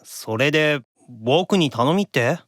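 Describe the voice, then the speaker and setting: A high, bright-voiced nerdy demon in an anime audio drama. He is reclusive and wary, with an excitable streak that swings his pitch around. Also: takes it slowly, no echo, clean studio sound. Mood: puzzled, wary.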